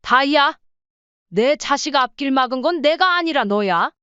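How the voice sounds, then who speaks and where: A woman's voice: high voice, lively intonation, measured pace, sharp tone, no echo, lo-fi sound, exaggerated voice. An actress playing a wealthy, stern older mother-in-law in an audio drama, scolding firmly and cuttingly. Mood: cold resolve. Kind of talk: audio drama story acting.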